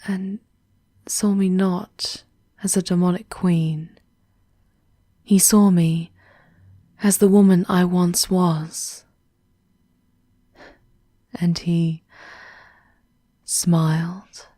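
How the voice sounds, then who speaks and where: A woman's voice: on the low side, fairly even intonation, warm tone, dry recording, studio quality. A woman playing a regal, world-weary demon queen who guides a wanderer in a formal, intimate ASMR roleplay, often sinking towards a whisper. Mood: wistful. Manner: measured, formal, dropping into breathy whispers.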